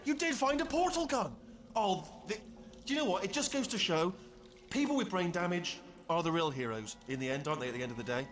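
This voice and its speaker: A high, bouncy robot sidekick, gabbling at speed in a cheeky, eager rush. His voice leaps all over the place and rings in a roomy game space. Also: lively intonation, a bit bright. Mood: cheery. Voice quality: rapid, babbling, cheeky. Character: excitable chatty male robot personality core.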